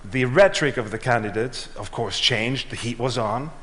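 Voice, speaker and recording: Middle-aged guy telling his story slow and measured, lines fade out, big reverby hall. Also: mid-range voice, fairly bright tone. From Switzerland and Ghana. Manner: measured storytelling, trailing off at line ends. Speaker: speaker. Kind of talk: prepared talk on stage. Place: large auditorium.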